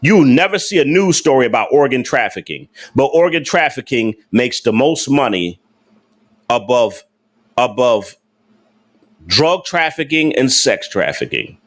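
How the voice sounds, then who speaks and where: A man's voice: medium pitch, big pitch swings, a little slow. A man hosting a casual livestream, talking emphatically to his viewers with slangy speech and repeated rhetorical questions. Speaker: livestream host.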